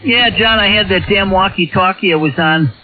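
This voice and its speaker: A man's voice: high-pitched, moderate pace, phone-quality sound, a little hiss or hum. A plainspoken man, chatting casually over CB radio. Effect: radio transmission. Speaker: CB radio operator. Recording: thin, band-limited CB radio signal.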